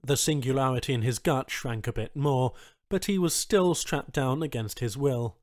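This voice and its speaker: A male narrator reads science fiction prose in a medium-pitched voice. It is scripted audiobook storytelling, and the recording is very dry, with no room sound around the voice. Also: moderate pace, no room sound.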